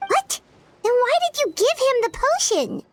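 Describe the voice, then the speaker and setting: An actress voicing a tiny, excitable flying female companion who talks about herself in the third person. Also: extremely high pitch, a little slow, no room sound, heavily acted. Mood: indignant. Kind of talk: video game voice acting.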